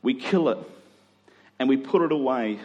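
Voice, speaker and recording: A man's voice: medium pitch, normal speed, dark tone, lo-fi sound. Australian man preaching in an echoey hall. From Queensland, Australia. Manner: conversational and earnest, repeats phrases for emphasis. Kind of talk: sermon. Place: large room, preaching to a congregation. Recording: echoey hall with long reverb.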